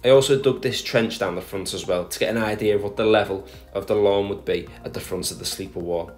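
A man with a low voice talks methodically through a do-it-yourself project in a recorded voice-over. Faint music plays quietly underneath him. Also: brisk. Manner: plain, methodical explaining with small restarts. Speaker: garden DIY vlogger. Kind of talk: voice-over narration of a DIY project.

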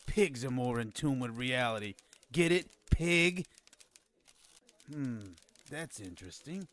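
An actor playing a snide, condescending non-human informant who scorns humans in a radio drama. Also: male voice, mid-range voice, expressive, slow, fairly bright tone. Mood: scornful, mocking. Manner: sarcastic, condescending.

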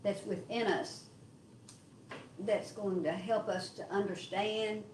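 A woman in a home Bible study group sharing her thoughts earnestly, pausing often to find words, recorded by a camera in a living room. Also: mid-range voice, fairly even intonation, darkish tone, a little hiss or hum. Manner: earnest, halting with fillers. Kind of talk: casual group discussion. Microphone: far camera in the room. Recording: distant voice in echoey room.

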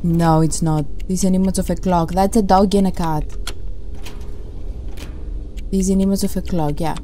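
A woman's voice: lowish voice, steady tone, normal speed, dark tone, slight background noise. A woman giving casual playthrough commentary on a horror game, reacting aloud and talking to herself and the game. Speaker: gaming video host.

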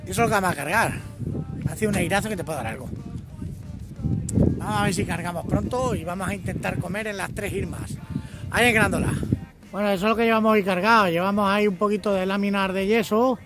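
A man's voice: high voice, fast. A middle-aged man, a truck driver, chatting casually to a phone camera held at arm's length outdoors. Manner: chatty, greets viewers as artists. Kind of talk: casual vlog chat to camera. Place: outdoors by trucks and roadside, windy. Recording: noisy outdoor phone audio. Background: wind and traffic noise.

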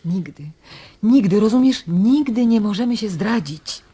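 An actress playing a cautious mother in a radio drama, speaking in a soft, husky, hushed and insistent way. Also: medium pitch, animated, unhurried. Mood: hushed warning. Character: cautious, anxious mother warning her young daughter.

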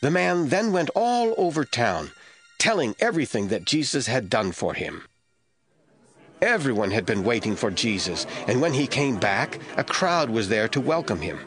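A man narrating a Bible audio drama as scripted, expressive storytelling. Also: mid-range voice, moderate pace.

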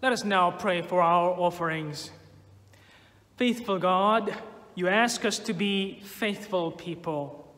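A minister reading prayers in a slow, measured liturgical manner in a church sanctuary. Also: male voice, high-pitched, bright, forceful tone, in a large hall. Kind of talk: leading prayers in a church service.

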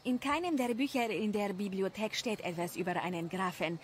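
A woman playing a bookish young villager who speaks in a gentle, reflective way. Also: medium pitch, brisk, a little hiss or hum, lightly acted. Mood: thoughtful.

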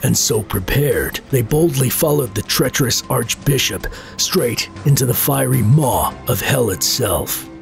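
A man's voice: medium pitch, gravelly. A man narrating scripted fantasy lore in a dramatic, ominous storyteller's manner. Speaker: video narrator.

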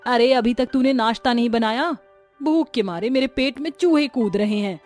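A woman's voice: on the high side, moderate pace, darkish tone, soft background music, close to an everyday voice. A woman playing a bad-tempered older mother-in-law who scolds and complains in a cartoon story. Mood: nagging irritation.

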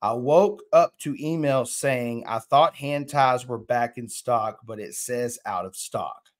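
A man's voice: medium pitch, a bit bright. A man, casually hosting a fishing live stream and reading viewer comments aloud from a small indoor room. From Texas. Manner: chatty, drawling, reads viewer comments aloud. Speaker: fishing channel host. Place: indoor room, plain wall.

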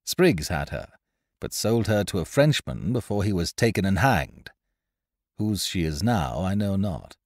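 A man's voice: deep voice, sing-song, a little slow, no room sound, studio quality, full character voice. A man voicing a reckless, jovial male sea adventurer who speaks in swaggering, old-fashioned seafaring talk. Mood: scheming. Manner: swaggering, archaic seafaring speech.

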